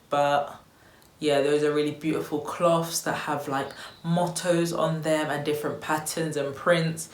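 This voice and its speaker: Young woman from Tanzania, casual upbeat vlogger, low-pitched, slight room echo. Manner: chatty and upbeat, loose with fillers like "um" and "you know". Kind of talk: casual vlog chat to camera. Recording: echoey room with faint noise.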